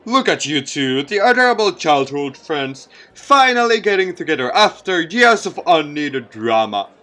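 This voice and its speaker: A man's voice: on the high side, very animated, bright, forceful tone. A man voicing a sardonic adult man who mockingly teases youngsters, drawing out his words, in a visual novel. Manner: mocking, drawn-out teasing. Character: sardonic, teasing adult man, mister at an academy.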